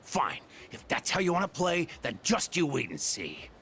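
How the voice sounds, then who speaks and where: Stern father, forceful, lowish, contemptuous, curt, game dialogue, noisy ambience behind. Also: wildly swooping pitch, moderate pace, creaky, heavily acted. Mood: irritated, warning. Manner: curt, scornful. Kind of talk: English video game voice acting.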